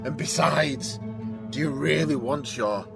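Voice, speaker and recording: An actor playing a smug, menacing old villain who drawls and taunts in an audio drama, with a gravelly voice. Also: male voice, a little high, measured pace. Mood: taunting. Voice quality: gravelly, creaky. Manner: drawling, sardonic, commanding. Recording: dramatic music bed behind voice.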